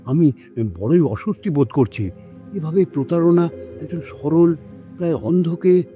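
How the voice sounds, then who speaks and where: A man acts a nostalgic elderly man in a radio play. His voice is fairly high, measured and hesitant, with an earnest, uneasy conscience. Faint music plays underneath. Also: narrow, tinny audio, lightly acted. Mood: uneasy, reproachful. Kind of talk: Bengali radio drama acting.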